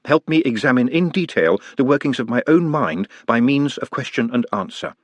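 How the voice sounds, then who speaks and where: A man doing a quiet, formal orc. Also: mid-range voice, animated, normal speed, no room sound, pitched above the performer's own voice, natural voice. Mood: patient. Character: gentle, soft-spoken orc under self-hypnosis, polite.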